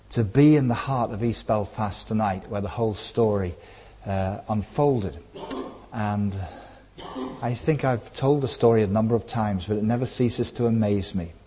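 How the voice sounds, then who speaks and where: Guest speaker, man, low husky mellow voice, gentle with dry wit. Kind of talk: illustrated talk. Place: church hall.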